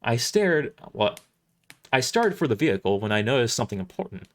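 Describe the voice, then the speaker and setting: A man reading first-person dramatic narration for an audio drama, somewhat halting, often restarting his lines. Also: medium pitch, sing-song.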